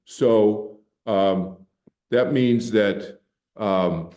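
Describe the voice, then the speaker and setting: A man's voice: lowish voice, drawn-out words, a little mellow, roomy sound. An older man from Boston, a meditation teacher giving a candid, conversational public talk to a library audience. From Boston, Massachusetts. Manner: candid, conversational, repeats words when restarting. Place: library meeting room.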